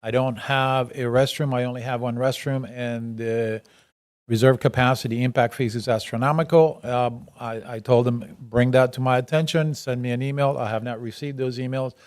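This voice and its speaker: A man's voice: medium pitch, steady tone, moderate pace, no echo, clean studio sound. A man speaking as a city commissioner in a public workshop, plain-spoken. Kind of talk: public meeting discussion.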